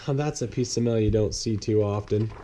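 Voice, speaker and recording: A man's voice: on the low side, a little fast, dark tone, close to an everyday voice. A man voicing a good-humored, easygoing male mail truck driver in a storybook. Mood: amused.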